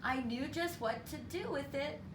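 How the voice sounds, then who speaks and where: A woman reading a story aloud to children in an expressive, deliberate, teacherly way. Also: sits high. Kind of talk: expressive read-aloud storytelling with counting lesson. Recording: roomy, distant mic with clear background noise.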